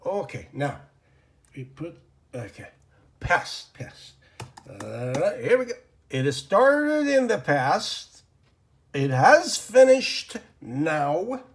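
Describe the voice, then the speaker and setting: A man whose first language is Persian teaches English grammar from his desk at an unhurried pace. His voice sits a touch high and swings widely in pitch. He rambles, fills pauses with ums and uhs, and mutters to himself as he draws on the board. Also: huge pitch swings. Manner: rambling, lots of fillers and self-talk while drawing. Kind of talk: informal grammar lesson.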